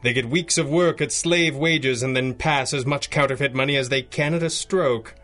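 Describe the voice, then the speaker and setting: A man's voice: mid-range voice, normal speed, a bit bright, lightly acted. Male actor for resolute vigilante hero in radio drama: medium voice, formal, grave, faint music beneath. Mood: measured.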